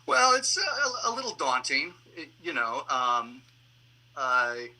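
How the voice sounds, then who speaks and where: A man answering interview questions on a video call as a theater director, explaining things in a halting, reflective way. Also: medium pitch, very animated, sharp tone, low-quality recording. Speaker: theater artistic director and actor, panel guest. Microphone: video call microphone.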